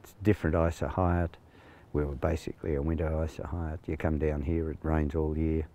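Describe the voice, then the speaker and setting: A man in his sixties with an Australian accent, a farm manager talking plainly outdoors, with many pauses and fillers. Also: very deep voice, little pitch movement, mellow, slight background noise. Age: 60s. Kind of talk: on-location interview. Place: outdoors on a rural farm paddock.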